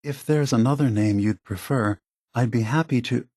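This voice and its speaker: An actor playing a gentle, weary, aged male synth leader in a video game, softly persuasive and deliberate, with a breathy, husky voice. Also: medium pitch, dry recording, clean studio sound, close to an everyday voice. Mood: calm, reassuring. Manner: measured, deliberate, philosophical, reassuring.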